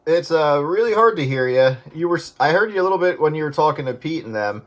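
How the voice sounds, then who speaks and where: A man's voice: fairly high pitch, expressive, fast. Man chatting over noisy, static-filled radio. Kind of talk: casual CB radio chat.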